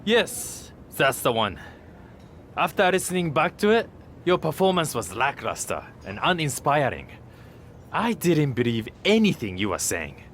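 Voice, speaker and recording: A man's voice: fairly high pitch, big pitch swings, unhurried, fairly bright tone, a little hiss or hum. An actor playing a vain, cocky young male wrestler obsessed with social media, smug and dismissive, in a video game cutscene. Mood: smug, critical. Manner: smug, dismissive, self-absorbed.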